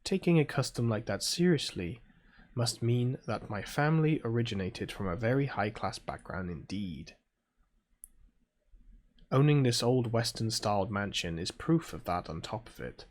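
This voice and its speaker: A man with a British accent reads visual novel text aloud and adds casual asides as a gaming video host, in a soft-spoken, chilled ASMR-like way. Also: fairly low pitch, lively intonation, moderate pace.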